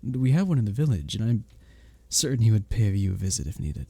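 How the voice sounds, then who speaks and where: Man playing a dark-voiced, breathy, flirtatious medieval innkeeper. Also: lowish voice, very animated, a little fast, dry recording, full character voice. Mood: teasing. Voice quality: breathy, soft. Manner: flirtatious, courtly, soft chuckles between phrases. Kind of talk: intimate ASMR roleplay with flowery old-fashioned speech.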